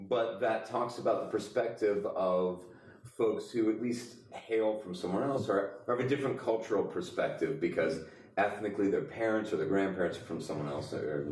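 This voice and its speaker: A man's voice: sits low, moderate pace, a little mellow. A man hosting a casual comedy podcast game segment, talking in joking, mock-announcer patter. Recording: echoey room, distant from mic.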